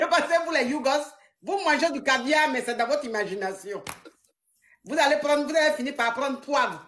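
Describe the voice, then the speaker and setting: A woman's voice: high voice, moderate pace, slight background noise. A woman, hosting a call-in advice stream and teasing callers with frequent laughter. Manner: mocking, laughs often, animated. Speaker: live-stream advice coach taking calls.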